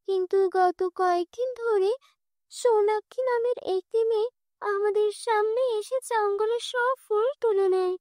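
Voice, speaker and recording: Cartoon casting: a woman as a poor little orphan girl, pleading and earnest, very high-pitched, dry sound. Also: warm tone, no room sound. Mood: sorrowful. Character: poor young orphan girl, pleading and timid, flower seller. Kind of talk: children's cartoon dialogue.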